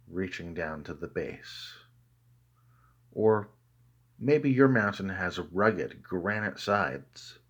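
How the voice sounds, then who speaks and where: A man narrating a guided meditation in a calm, measured way, with deliberate pauses between phrases. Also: deep voice.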